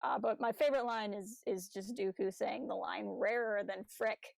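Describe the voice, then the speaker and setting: A woman's voice: medium pitch, steady tone, moderate pace, creaky, no room sound. A woman co-hosting a casual livestream fan chat, talking in a chatty, informal and slangy way. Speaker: podcast co-host.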